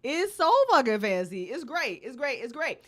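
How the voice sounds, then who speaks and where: A woman's voice: on the high side, very animated, normal speed. A woman hosting a live stream in a casual, playful, rambling way with plenty of swearing. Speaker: livestream host, podcast co-founder, writer and director. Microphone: streaming setup with webcam and headphones.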